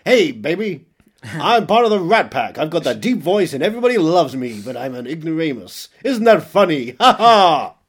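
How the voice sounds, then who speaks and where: A man voicing a vain, dim cartoon rat crooner who brags about his deep voice. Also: sing-song, normal speed, breathy edge. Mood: boastful.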